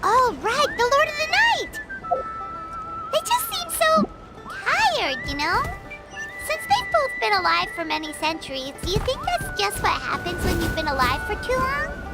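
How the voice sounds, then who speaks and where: A little childlike fairy sidekick in a fantasy game. The voice is sky-high and cutesy, swinging wildly in pitch. She sounds naive and candid. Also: huge pitch swings, a little slow, loud music behind the voice, exaggerated voice. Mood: pondering. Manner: squeaky, cutesy, talks in third person. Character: tiny chirpy fairy companion girl, naive, candid, childlike. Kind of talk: video game voice acting.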